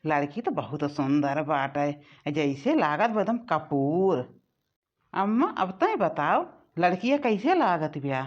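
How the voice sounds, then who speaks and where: A woman with an Awadhi accent voicing an excitable village family man in a cartoon comedy, emphatic and repeating himself, on a lo-fi soundtrack. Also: deep voice, takes it slowly, full character voice. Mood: admiring.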